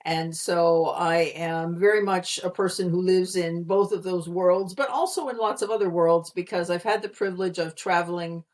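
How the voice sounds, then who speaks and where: A woman's voice: fairly low pitch, normal speed, few pauses, darkish tone. A middle-aged woman from Pennsylvania with an American accent, a guest explaining her work in an articulate, friendly way over a video call. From Pennsylvania, USA. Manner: articulate, explanatory, light laughs. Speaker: guest, communication consultant. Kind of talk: conversational interview answer.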